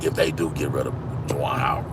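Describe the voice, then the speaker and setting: A man's voice: very deep voice, steady tone, fast, heavy background noise. A man in his forties, hosting a sports channel, chatting casually with viewers in a rambling way, often repeating "man". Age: 40s. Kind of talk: casual live-stream chat with viewers.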